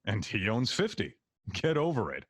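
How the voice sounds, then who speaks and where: A man's voice: lowish voice, huge pitch swings, normal speed, slightly rough, dry recording, clean studio sound, heavily acted. A man playing an easygoing, no-nonsense security worker in an audio drama, casual and wry. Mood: firm. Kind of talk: conversational audio drama acting.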